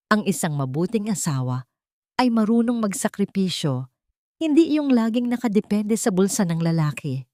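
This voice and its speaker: A woman's voice: on the low side, dark tone, dry recording, studio quality, close to an everyday voice. A woman voicing a bitter elderly mother-in-law in an audio drama, cold and reproachful.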